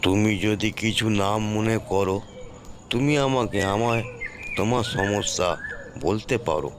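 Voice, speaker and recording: A man's voice: lowish voice, a little slow, natural voice. A man voicing a helpful, reassuring elder-brother figure who gives advice in a cartoon ghost story. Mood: concerned. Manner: reassuring, advising. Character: Poltu-da, helpful, reassuring elder-brother village problem solver. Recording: clear background noise, birds and crickets.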